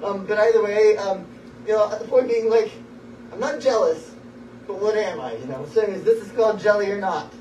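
A man's voice: high-pitched, brisk, darkish tone, slight background noise. A young man chatting casually between songs at an open mic, rambling self-consciously and often trailing off. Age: young adult. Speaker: amateur singer-songwriter at an open mic. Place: small wood-ceilinged open mic venue, indoors. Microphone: phone in the audience, far from stage. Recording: distant, roomy, dull phone recording.

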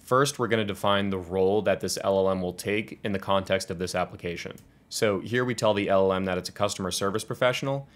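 Young man, American accent, low-pitched voice, coding tutorial, step-by-step explanations. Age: 20s. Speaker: tutorial presenter. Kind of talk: scripted software tutorial walkthrough.

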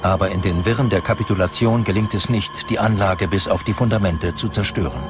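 A man's voice: deep voice, fairly quick, music in the background. A man reading scripted narration for a documentary.

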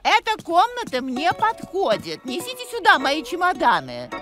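A woman's voice: very high-pitched, wildly swooping pitch, moderate pace, exaggerated voice. An actress playing a strict, haughty older nanny in a cartoon, bossy and condescending, with music behind her. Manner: imperious, condescending. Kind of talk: cartoon voice acting.

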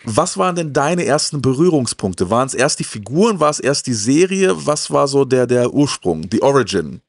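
A man's voice: medium pitch, very animated, moderate pace, no room sound, studio quality. A man in his thirties hosting a show, chatting casually into a handheld microphone. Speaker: host of a retro TV show. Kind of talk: casual chat to viewers and guest. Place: indoors, small room full of media shelves.

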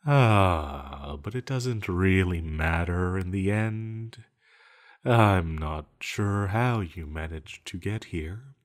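Man voicing a scheming exiled male villain, low pitch, unhurried drawn-out delivery, teasing, theatrical, audio drama. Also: heavily acted. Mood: sly, calculating. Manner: slow, teasing, theatrical, with lingering pauses. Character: banished male sorcerer villain, sly, seductive, scheming. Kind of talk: scripted audio roleplay, addressed to the listener.

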